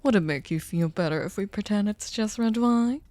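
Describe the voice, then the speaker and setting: A woman voicing a sadistic, possessive vampire hostess in an ASMR roleplay, silky, courtly and teasing, often slipping into breathy whispers. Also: mid-range voice, moderate pace, mellow, no room sound, lightly acted. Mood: teasing, menacing. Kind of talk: scripted ASMR roleplay, intimate dramatic monologue.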